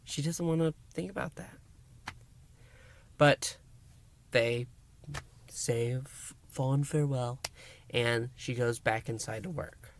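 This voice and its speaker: Guy doing a dramatic recap review, really slow delivery, big pauses, punches words then drops them hushed. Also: medium pitch, slight background noise. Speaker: podcast review host.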